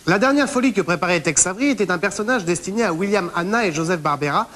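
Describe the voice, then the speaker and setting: A woman's voice: lowish voice, lively intonation, fast, loud music behind the voice. A woman co-hosting a magazine show, reading a scripted presentation in a playful manner. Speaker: TV co-host. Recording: hissy background noise.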